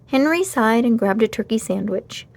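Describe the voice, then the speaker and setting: High-voiced woman reading a children's story. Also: expressive, moderate pace, gravelly, darkish tone. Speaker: storybook narrator. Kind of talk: expressive children's read-aloud narration.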